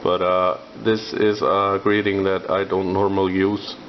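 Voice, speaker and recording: A young Icelandic man with an Icelandic accent, rambling about building a scale model with lots of filler sounds and pauses. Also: sits low, flat delivery. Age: young adult. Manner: halting, many filler sounds. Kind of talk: rambling hobby vlog. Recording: dull lo-fi with faint noise.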